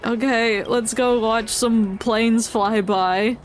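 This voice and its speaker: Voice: medium pitch, fairly even intonation, slow, soft background music. A game streamer giving playful, theatrical live commentary and joking along with the dialogue.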